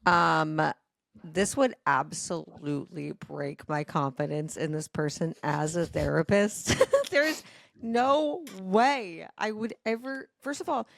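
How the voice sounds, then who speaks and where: A woman's voice: lowish voice, lively intonation, slow, vocal fry, no echo. A woman co-hosting a podcast in casual, joking banter. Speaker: podcast co-host.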